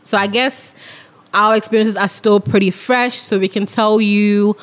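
A young woman from England co-hosting a casual podcast, frank and animated, often laughing as she tells stories. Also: medium pitch, unhurried. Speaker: podcast co-host. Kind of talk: casual chat.

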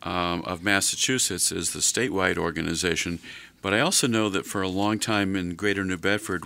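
An older man hosting a radio talk show, chatting slowly in a folksy way with many fillers. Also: deep voice, gravelly, bright, forceful tone.

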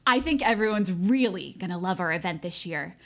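A woman playing a pious, bossy high school girl in an audio drama, earnest and insistent. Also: lively intonation, brisk, fairly bright tone. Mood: eager, insistent. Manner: earnest, insistent, self-righteous. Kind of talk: naturalistic audio drama acting.

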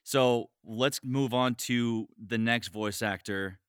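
A man's voice: mid-range voice, slow, a bit bright, clean tone, no echo, clean studio sound. A man hosting a casual podcast chat in a jokey manner. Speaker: podcast host and voice actor.

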